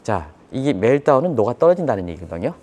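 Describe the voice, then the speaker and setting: A man's voice: mid-range voice, big pitch swings, fast, mellow. A man giving live financial news commentary, explaining step by step, repeating key terms and putting rhetorical questions to viewers. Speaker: economic commentator.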